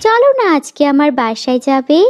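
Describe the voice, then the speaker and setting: A woman's voice: sits high, sing-song, normal speed. A woman voicing a playful, coaxing snake queen in a comic cartoon, with theatrical delivery. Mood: coaxing, curious. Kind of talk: Bengali comic cartoon dialogue. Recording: dull audio with faint noise.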